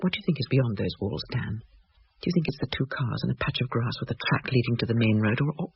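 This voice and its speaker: An actress playing a sceptical, rational wife in a radio drama, brisk, articulate and argumentative. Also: female voice, a bass voice, lively intonation, husky, full character voice. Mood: probing. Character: sceptical rationalist wife, insisting on facts and reason. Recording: dull, noisy old radio recording.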